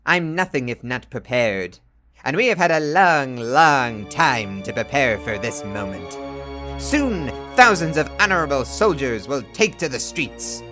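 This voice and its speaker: A man's voice: mid-range voice, expressive, unhurried, over music, lightly acted. A man playing a cold warlord villain in a radio play, declamatory and gloating. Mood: menacing, triumphant. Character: cold, grandiose male villain warlord commanding armies.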